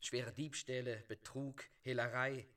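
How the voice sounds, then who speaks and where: An actor in a radio drama playing a businesslike policeman who lays out evidence in a deliberate, matter-of-fact way. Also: medium pitch, steady tone, fairly bright tone, close to an everyday voice. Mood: businesslike, certain. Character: businesslike policeman on a thief's trail. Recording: clear outdoor ambience with birdsong, insects.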